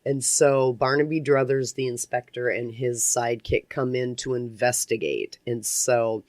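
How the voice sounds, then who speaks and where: A woman's voice: extremely low pitch, darkish tone, dry recording. A woman hosting a radio interview in a casual, chatty and effusive way. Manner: effusive, quick to laugh. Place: community radio studio.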